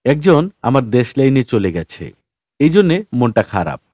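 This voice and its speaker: A man voicing a calm, whimsical, philosophical wanderer in an audiobook, matter-of-fact and gently teasing. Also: mid-range voice, normal speed, no room sound, clean studio sound. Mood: calm, wry.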